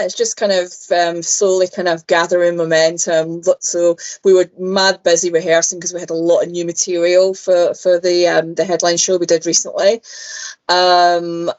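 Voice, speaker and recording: A talkative Glaswegian woman with a slightly low voice. She chats freely as an interview guest and fills her pauses with lots of "um"s. Her audio comes through dull and lo-fi, like a remote call. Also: flat delivery. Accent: Glaswegian Scottish. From Scotland. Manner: chatty, frequent "um"s, laughs easily. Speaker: musician guest. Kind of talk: casual interview chat.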